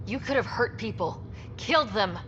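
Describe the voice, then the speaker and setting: A woman's voice: fairly high pitch, lively intonation, normal speed, noisy background, lightly acted. A woman playing an earnest, direct young space expedition leader in a video game. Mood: stern. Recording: muffled, long reverb, music behind.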